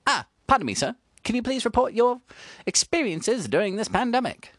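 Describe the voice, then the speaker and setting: A woman playing a deadpan, falsely formal street reporter in a comedy sketch. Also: a little high, moderate pace, dry recording, studio quality. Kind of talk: comedic mock news report.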